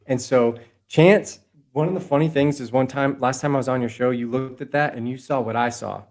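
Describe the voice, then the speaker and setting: A man's voice: medium pitch, a little fast, darkish tone. A man talking as a podcast guest, impassioned and rambling, swearing freely and restarting his sentences as he builds his case. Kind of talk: heated casual conversation.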